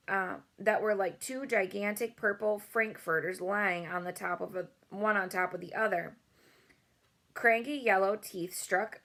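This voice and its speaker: A woman's voice: medium pitch, fairly bright tone. A woman reading a children's storybook aloud as host, with casual asides.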